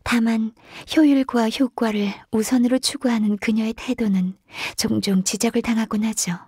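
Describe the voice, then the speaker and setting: An actress voicing a gentle, diligent, shy young woman secretary in a video game, speaking softly, politely and hesitantly. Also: on the high side, fairly even intonation, moderate pace, slightly rough, no echo, studio quality. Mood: calm, earnest. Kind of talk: video game character voice lines.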